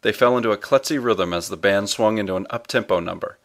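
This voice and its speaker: Guy telling an audiobook story, voice on the low side. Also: quick pace. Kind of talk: scripted audiobook narration.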